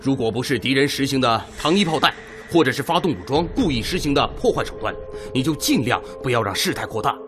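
An actor playing an earnest, worried Red Army political commissar in a formal, emphatic radio drama style. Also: male voice, medium pitch, lively intonation, quick pace, close to an everyday voice. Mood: concerned, steadying. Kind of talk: Chinese radio drama acting. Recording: echoey hall reverb, music underneath.

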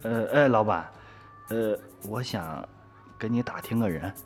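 A man's voice: lowish voice, unhurried, close to an everyday voice. Young village lad, male actor: hesitant, stammering, shifty radio drama part, reverb and music behind. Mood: sheepish. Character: evasive, nervous young village man. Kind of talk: Chinese radio drama acting.

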